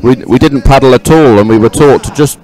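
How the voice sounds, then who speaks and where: A man speaking as a sports co-commentator, with a casual, conversational and somewhat halting delivery. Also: mid-range voice, warm tone, low-quality recording. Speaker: sports co-commentator, former team paddler. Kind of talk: live race commentary, conversational.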